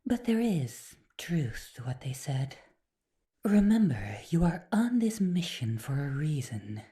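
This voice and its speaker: A woman playing a commanding fey council leader in a fantasy audio drama, stately, deliberate and authoritative. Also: low voice, sing-song, warm tone, heavily acted. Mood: regal, stern.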